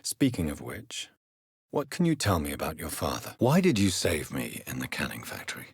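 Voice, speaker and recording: A deep, husky vampire doctor. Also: male voice, huge pitch swings, dry recording, studio quality, full character voice. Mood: thoughtful, concerned. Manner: formal, measured, articulate, earnest. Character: grave, courteous male doctor turned vampire, 1910s London. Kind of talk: dramatic video game dialogue.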